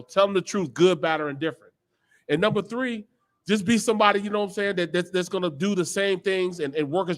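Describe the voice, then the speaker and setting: A man's voice: high voice, a bit bright. A man giving casual football analysis on a talk show, laying out his points one by one. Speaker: sports show panelist.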